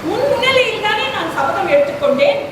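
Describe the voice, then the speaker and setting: A woman's voice: extremely high pitch, sing-song, a little fast. A woman playing a wronged queen on stage, pleading earnestly about her vow. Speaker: stage actor. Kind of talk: stage play acting. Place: auditorium stage. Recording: distant echoey hall, noisy.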